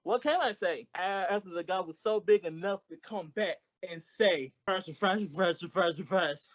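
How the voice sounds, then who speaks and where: A woman playing a whiny, childish, excitable man in an old-time radio comedy. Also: on the low side, unhurried, no echo, lo-fi sound, natural voice. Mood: earnest, plaintive. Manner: plaintive, exclaiming.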